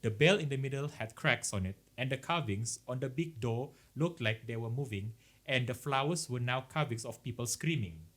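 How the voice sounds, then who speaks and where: Male podcast co-host, Malaysian accent, relaxed conversational storytelling, code-switches between English and Malay. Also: medium pitch, moderate pace. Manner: mixes English with Malay, explaining as they go. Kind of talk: casual chat, reading out listener horror stories.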